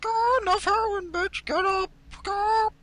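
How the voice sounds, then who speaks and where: A woman voicing an excitable, foul-mouthed young woman in an amateur anime parody. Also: extremely high pitch, measured pace. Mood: angry, bossy. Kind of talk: comedic cartoon parody dubbing. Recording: very noisy amateur voice recording.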